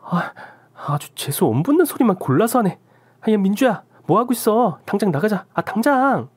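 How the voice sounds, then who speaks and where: A woman's voice: mid-range voice, big pitch swings, normal speed, a little mellow, breathy edge, dry recording, pitched above the performer's own voice. A woman voicing a shifty young woman who stammers and turns indignant, in a dry recording. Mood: huffy. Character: shifty young woman friend, flustered and defensive.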